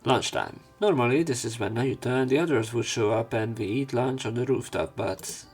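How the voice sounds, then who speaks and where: A man's voice: mid-range voice, normal speed, soft background music. A man reading a visual novel aloud as a let's player, with casual commentary and joking asides. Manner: joking asides between read passages.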